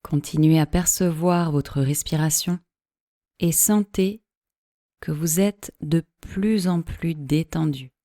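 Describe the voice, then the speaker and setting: Slow, mellow woman guiding deep relaxation. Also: no echo, clean studio sound. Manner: calm, measured, soothing delivery with long pauses. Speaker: yoga nidra teacher. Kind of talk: slow guided meditation, instructional relaxation.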